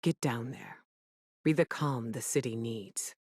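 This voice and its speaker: An actress playing a calm, resolute middle-aged commander giving a measured, authoritative mission briefing in a video game. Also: female voice, extremely low pitch, breathy edge, exaggerated voice. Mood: grave, resolute.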